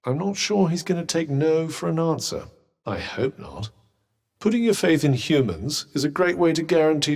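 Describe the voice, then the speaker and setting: Game role: sardonic magical talking object, British accent, haughty and droll, animated intonation, lightly husky tone. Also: male voice, on the high side, heavily acted. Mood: exasperated, then wry, condescending. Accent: British English. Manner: dry, sarcastic, condescending, theatrical. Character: sardonic, haughty, well-spoken talking magical cuff, male. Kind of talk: video game character dialogue.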